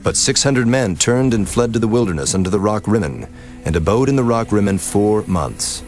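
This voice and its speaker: A man narrating a dramatized scripture reading in a solemn, measured way, with music behind. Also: on the low side, lively intonation, darkish tone. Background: background music.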